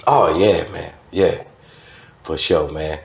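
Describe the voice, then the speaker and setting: An older man with a Louisiana Southern accent, reminiscing casually as an interview guest in an unhurried, folksy way. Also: very deep voice, creaky. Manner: unhurried, folksy, with frequent "man" and "you know". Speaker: interview guest, music promoter. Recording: roomy, dull lo-fi sound.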